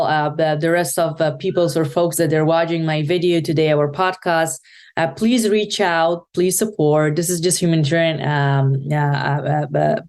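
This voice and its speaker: A woman from Afghanistan with an Afghan accent, giving an earnest podcast interview, halting and restarting often. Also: sits low, smooth. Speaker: podcast guest, nonprofit founder.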